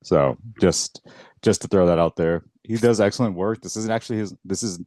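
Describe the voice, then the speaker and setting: Very deep, dark-voiced male podcast guest. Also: no room sound, clean studio sound. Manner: relaxed, halting, laughs a lot. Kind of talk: casual chat.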